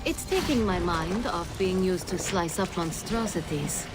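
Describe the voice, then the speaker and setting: A woman's voice: on the low side, animated, a little slow. A woman playing a mystical spirit queen in a video game, composed and formal with a dry wit. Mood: teasing. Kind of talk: video game cutscene acting. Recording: game soundtrack mix with loud music. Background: loud music.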